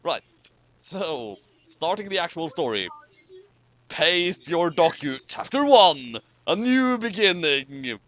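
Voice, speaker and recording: A man hosting and narrating in a casual, wordy, deliberate way. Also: on the high side, sing-song, fairly bright tone. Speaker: channel host and narrator. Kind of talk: casual banter and comic story reading.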